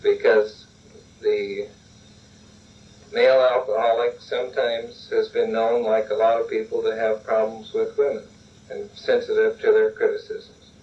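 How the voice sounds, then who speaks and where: Low-voiced man guiding relaxation. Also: unhurried. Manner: calm, instructive, repeatedly urging relaxation. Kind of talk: guided relaxation and role-play exercise. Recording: dull lo-fi recording from a distance.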